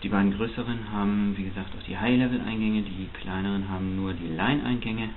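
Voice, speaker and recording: Low-voiced man, thin hissy recording. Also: fairly even intonation, a little fast. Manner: technical, explanatory. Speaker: hobby loudspeaker reviewer. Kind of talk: spontaneous unboxing commentary. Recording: muffled phone-like sound, clear hiss.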